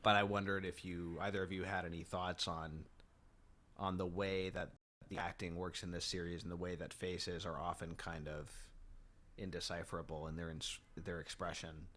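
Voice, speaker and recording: Deep-voiced man hosting a film podcast. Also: flat delivery, a bit bright. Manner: measured, asks thoughtful questions. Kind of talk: casual film discussion.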